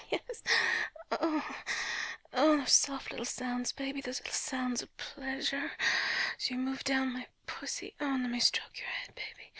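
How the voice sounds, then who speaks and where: A woman whispers in a high, airy voice, slow and breathy with soft sighs. She drifts into French phrases now and then. The sound is dry and close, with a light, bright tone. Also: no echo. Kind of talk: whispered intimate audio roleplay.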